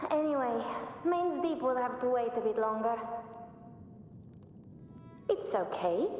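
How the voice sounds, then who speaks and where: A youthful dreamy village kid in a game, slow lilting talk, big reverb, sounds far off. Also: female voice, high voice, highs cut off. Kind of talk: video game voice acting. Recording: echoey, distant, long reverb.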